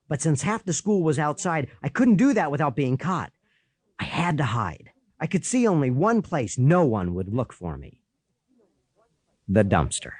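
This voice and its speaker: A man reads a children's story as a first-person narrator. His voice sits a little high and swings widely in pitch with boyish animation. The recording is dry and close. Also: dry recording. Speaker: audiobook narrator.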